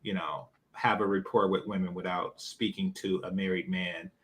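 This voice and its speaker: A man's voice: deep voice, steady tone, normal speed. A man co-hosting a casual chat, questioning a caller in a teasing, mock-incredulous way. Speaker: co-host.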